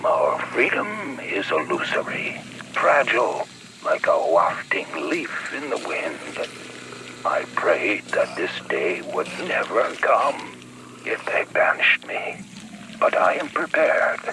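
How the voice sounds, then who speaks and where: A man's voice: low-pitched, sing-song, slow pace, background noise, heavily acted. A man voicing a grave, solemn preacher full of dread in a dramatic video game. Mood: solemn foreboding. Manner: solemn, foreboding.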